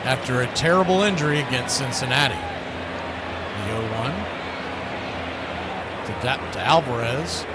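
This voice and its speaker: A man's voice: mid-range voice, measured pace, long pauses, very noisy. A man doing baseball play-by-play as a radio host, in clipped, punchy calls mixed with casual chat.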